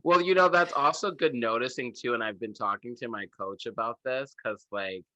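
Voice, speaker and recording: A comedian hosting a casual podcast chat from his bedroom, animated and full of fillers, laughing often. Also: medium pitch, normal speed. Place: home bedroom.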